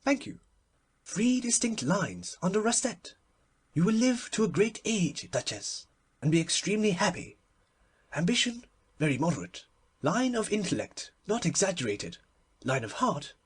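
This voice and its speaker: A performer voicing a solemn, obsequious little palm-reading man, speaking in a deferential, precise, measured way in a storybook. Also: medium pitch, sing-song, breathy edge, pitched above the performer's own voice. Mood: solemn, courteous.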